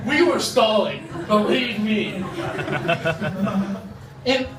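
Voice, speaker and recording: Animated, high-pitched man doing amateur stand-up. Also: drawn-out words. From Dubai. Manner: animated, acts out short quoted exchanges. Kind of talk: stand-up comedy at an open mic. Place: open mic comedy venue in front of an audience. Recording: echoey, noisy room recorded from far off.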